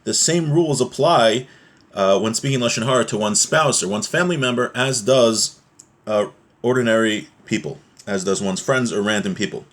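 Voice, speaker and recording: A man's voice: lowish voice, normal speed, a bit bright. A man teaching a religious text, reading passages aloud and explaining them in an informal, plain-spoken way.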